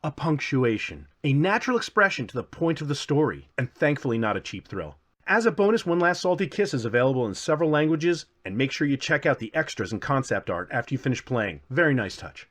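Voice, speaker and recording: A man's voice: mid-range voice, sing-song, brisk, fairly bright tone. A man hosting a casual scripted game review with playful, self-deprecating asides. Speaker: video game reviewer and channel host.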